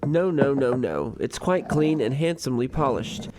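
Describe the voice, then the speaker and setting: A man acting an urbane, devoted, gently pedantic husband with a wordy, courtly way of talking in a radio comedy. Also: mid-range voice, very animated, moderate pace, noisy background, close to an everyday voice. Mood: musing.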